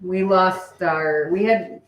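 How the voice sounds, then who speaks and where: A woman's voice: fairly low pitch, slow pace. A woman chatting casually and informally with viewers as co-host of a live stream. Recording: echoey room.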